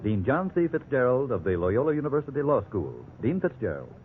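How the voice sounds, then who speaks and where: A man's voice: medium pitch, very animated, fairly quick, slight background noise. Formal American male announcer, tinny old radio. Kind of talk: scripted 1950s radio announcing. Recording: tinny 1950s radio with faint music.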